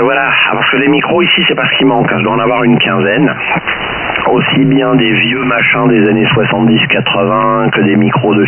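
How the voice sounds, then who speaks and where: A man chatting casually as an amateur radio operator, hesitant and often repeating words, over a radio link. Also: fairly low pitch. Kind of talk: casual radio contact chat. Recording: noisy narrow-band radio with heavy static.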